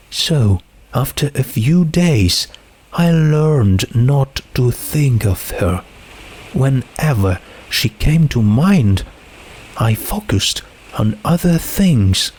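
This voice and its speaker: Anxious teen girl, read by a man, storybook drama; unhurried, halting, emotionally exposed. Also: medium pitch, darkish tone, breathy edge, pitched above the performer's own voice, heavily acted, rough recording. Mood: sad, resigned. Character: troubled, anxious teenage girl confiding a secret. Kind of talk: dramatic fan fiction reading.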